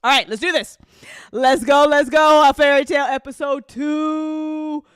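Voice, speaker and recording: A woman hosting a live-stream listen party, chatting casually and excitably with her audience, giggly and quick to swear. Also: sits high, no room sound, clean studio sound. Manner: hype-building, talks directly to chat. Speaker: host of a podcast listen party.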